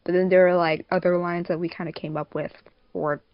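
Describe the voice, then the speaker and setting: A woman's voice: lowish voice, flat delivery, a little fast, muffled recording. A giggly, rambling woman hosting a casual group podcast. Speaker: podcast host, fandub production crew member.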